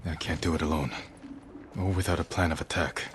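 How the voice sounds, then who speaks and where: An actor playing a steadfast young samurai lord in a video game cutscene, terse, measured and serious. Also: male voice, extremely low pitch, steady tone, a little hiss or hum. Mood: calm, commanding.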